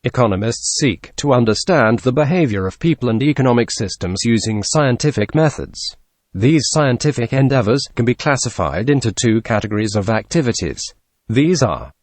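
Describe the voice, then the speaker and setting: A man's voice: medium pitch, expressive, a little fast, darkish tone, dry recording. Man reading aloud, very dry studio sound. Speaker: test-practice model reader. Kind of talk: scripted read-aloud of exam passages.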